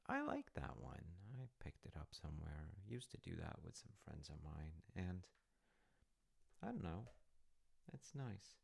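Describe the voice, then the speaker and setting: Low, gravelly, gentle dad, acted by a man. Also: huge pitch swings, exaggerated voice. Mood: lightly joking. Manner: hesitant, reassuring, thoughtful with pauses. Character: gentle, attentive, slightly awkward middle-aged dad having tea. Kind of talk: soft-spoken wholesome audio roleplay addressed to listener.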